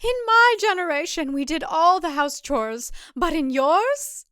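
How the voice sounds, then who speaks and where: A woman voicing an older, self-righteous cult mother in an audio drama, with a fervent, plaintive, drawn-out delivery. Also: extremely high pitch, animated, a bit bright, no room sound, clean studio sound, voice pushed up, full character voice. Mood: fervent conviction.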